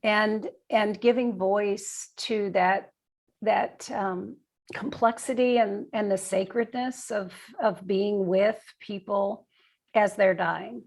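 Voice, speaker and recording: A woman gives a reflective interview answer at a very slow pace. She searches for her words, often repeating a phrase before she moves on. Her voice sits at an average pitch. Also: fairly even intonation, vocal fry, darkish tone. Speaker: guest, nursing and bioethics professor.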